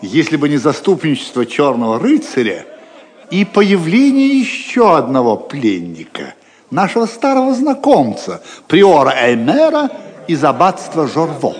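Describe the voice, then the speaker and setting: A man's voice: a little high, huge pitch swings, measured pace. A man narrating an audio drama as a theatrical, confiding storyteller. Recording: long reverb on the voice.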